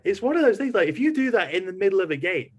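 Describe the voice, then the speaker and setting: Fast, lively man with a husky voice. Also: high-pitched. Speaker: podcast host, interviewer. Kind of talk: casual interview questioning.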